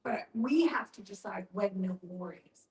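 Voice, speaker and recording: A woman's voice: medium pitch, measured pace, darkish tone. A woman speaking reflectively and explaining her view in a group discussion, repeating phrases for emphasis. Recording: echoey room, distant mic.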